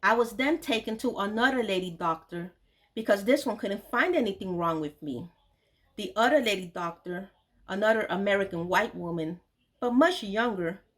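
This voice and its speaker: A woman's voice: medium pitch, fairly bright tone. A woman reading her memoir aloud, telling a painful story in an earnest, grave manner.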